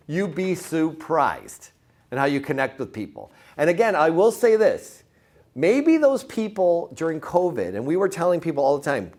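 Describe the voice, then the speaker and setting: A man giving an informal motivational sales presentation, repeating words for emphasis and putting questions to his listeners. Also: fairly high pitch. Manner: informal, punchy, asks the audience questions. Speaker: sales trainer presenting to real estate agents.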